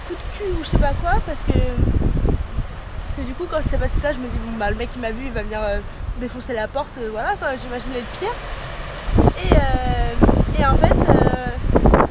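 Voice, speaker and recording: A young woman with an accent from France, telling a personal story casually to camera in slangy, hesitant speech. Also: sits high. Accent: French from France. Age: young adult. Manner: informal, hesitant, slangy delivery. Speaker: au pair vlogger. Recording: noisy, wind and rustling on mic.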